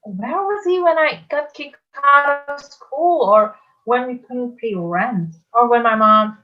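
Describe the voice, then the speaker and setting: A woman's voice: on the high side, expressive, slow, highs cut off, soft background music. A woman playing a troubled, doubtful young demigod boy in a musical theatre table read, with a hesitant, questioning manner. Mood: bitter, hurt.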